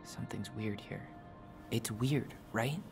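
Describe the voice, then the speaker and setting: A guarded young guy in a story-driven video game. He is wry but persistent and speaks naturally, with music clearly playing behind him. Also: fairly low pitch, slow. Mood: puzzled, probing. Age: young adult.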